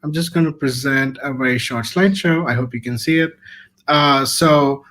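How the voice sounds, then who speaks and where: A man from India giving a casual slide presentation on a webinar, relaxed and full of fillers. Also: medium pitch, normal speed. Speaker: webinar panelist. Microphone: computer mic on a video call.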